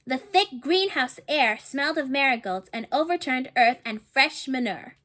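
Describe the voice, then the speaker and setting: A woman with a high, light voice reads a children's storybook aloud. She pauses to think aloud and to put questions to her young listeners. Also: animated, pushed and bright. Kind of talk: picture book read-aloud with asides to listeners.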